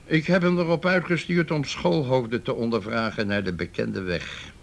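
Actor as a gravelly, grumbling police detective. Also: male voice, mid-range voice, steady tone, normal speed, slight background noise, natural voice. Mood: sardonic. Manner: blunt, sardonic. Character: gruff, grumbling, irreverent male police detective. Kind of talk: Dutch radio play acting.

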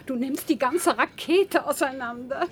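A woman's voice: sits high, unhurried, a little hiss or hum. An actress playing a frightened, fretful middle-aged mother in a radio drama, anxious and exclamatory. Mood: worried. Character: frightened, fretful mother stranded with her husband.

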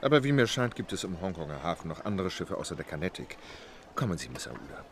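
An actor playing a composed, courteous English gentleman traveller in a German audio drama, speaking formally and precisely. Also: low-pitched, sing-song, fast talker, slight background noise. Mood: composed, polite. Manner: formal, polite, unflappable, precise.

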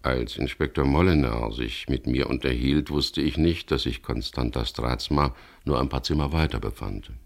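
A man's voice: moderate pace, few pauses. A man acting in a 1970s German radio crime drama as a frank, guarded boat skipper, plain-spoken in dialogue and narration. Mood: calm, narrating. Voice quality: very deep, gravelly. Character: frank, wary male boat skipper caught up in a murder case.

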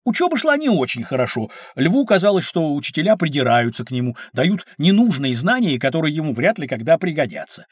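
A learned, patient storyteller for a children's educational audio play. The voice is fairly high and husky, with very varied intonation as it explains. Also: male voice, very animated, fairly quick, few pauses, no echo, clean studio sound. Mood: explaining. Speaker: narrator-teacher.

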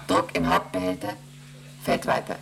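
A man voicing an emotionless onboard computer in a radio play, reading out readings and rules in a flat, mechanical way. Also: low voice, normal speed, creaky, soft background music. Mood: flat reporting. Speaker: ship's computer.

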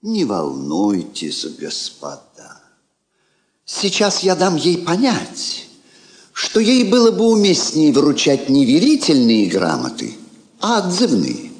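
An actor playing a haughty, ironic king in a classic radio drama, speaking in a pompous, theatrical, deliberate manner. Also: on the high side, wildly swooping pitch, heavily acted. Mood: suave irony. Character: haughty, ironic, courtly king receiving a diplomat. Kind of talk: classic Russian stage radio drama acting. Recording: echoey theatre-like hall, old recording.

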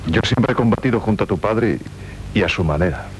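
Man playing shy devoted soldier, very low voice, meek, hesitant, noisy old radio drama. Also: expressive, a little fast, lightly acted. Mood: gentle, deferential. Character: humble, devoted soldier, shyly lovestruck lieutenant. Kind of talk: theatrical 1970s Spanish radio drama acting. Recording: noisy, rumbling 1970s radio recording.

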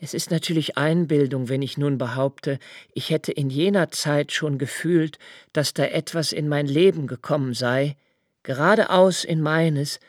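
An actor playing an introspective, haunted man who recalls his childhood in a literary radio play, with measured, precise phrasing and deliberate pauses. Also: a little high, no echo, studio quality. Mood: brooding. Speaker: radio drama narrator. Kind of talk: literary first-person radio play narration.

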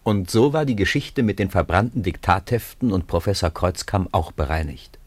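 A man narrating a radio play as a calm storyteller, in a measured, literary manner. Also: deep voice, breathy edge. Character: calm male storyteller of a school tale.

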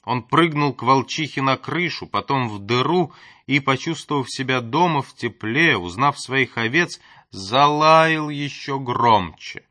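A man's voice: mid-range voice, animated, unhurried, bright, forceful tone, no echo, clean studio sound. A man reading a classic story as an audiobook narrator, expressively, with dramatic and varied emphasis.

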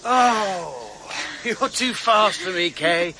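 A man's voice: sits high, sing-song, extremely slow, noisy background, full character voice. An actor in a radio drama playing a charming, breezy husband who coaxes his wife. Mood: coaxing. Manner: breezy, coaxing.

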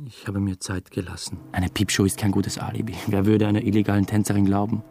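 A man's voice: on the low side, flat delivery, fast, creaky, a little mellow. An actor playing a brooding, weary man who narrates his own story in a radio drama, subdued and sometimes hesitant. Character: brooding, weary male first-person narrator under suspicion.

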